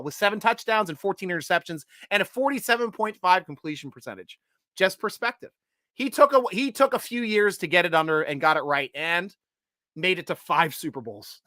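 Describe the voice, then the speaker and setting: A man hosting a live sports stream, reading viewer comments and cracking jokes. His voice is bright and forward. He swings from loud shout-outs to low asides, and the sound is dry and close. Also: dry recording. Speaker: host.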